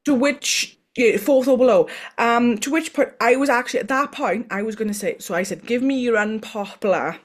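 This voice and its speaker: A woman's voice: a little high, moderate pace, hardly any pauses. A Welsh woman co-hosting a casual podcast chat, who talks freely and often trails off mid-sentence. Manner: chatty, trails off and restarts. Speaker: podcast co-host.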